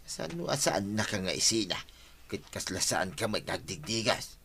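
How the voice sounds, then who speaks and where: A man's voice: fairly low pitch, sing-song, normal speed, sharp tone, slightly rough, exaggerated voice. A man with an Ilocano accent playing an easygoing husband in a radio comedy drama. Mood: chatty.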